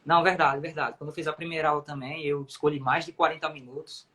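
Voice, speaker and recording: A man's voice: on the high side, little pitch movement, fast talker, sharp tone. A man with a Brazilian accent, a native Portuguese speaker, explaining things emphatically in a casual livestream chat. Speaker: self-taught English coach on a livestream.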